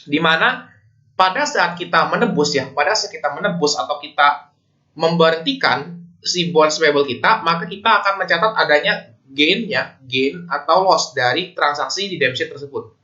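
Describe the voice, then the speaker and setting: Bright-voiced Indonesian man teaching, higher-pitched. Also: moderate pace, highs cut off. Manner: conversational, often checking in with listeners. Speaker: accounting lecturer. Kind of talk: informal explanatory lecture with slides.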